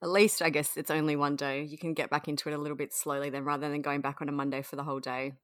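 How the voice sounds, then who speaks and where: A young woman from outside the United States, chatting with a friend in a soft, supportive, hedging way. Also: sits low, flat delivery, very fast, no room sound, studio quality. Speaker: friend on a call. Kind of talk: casual chat.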